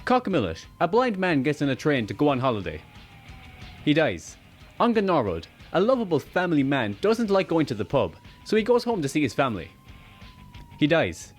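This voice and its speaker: A young man with an Irish accent narrating a scripted video with sardonic, deadpan humour. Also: a little high, normal speed, faint music behind. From Ireland. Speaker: video essayist.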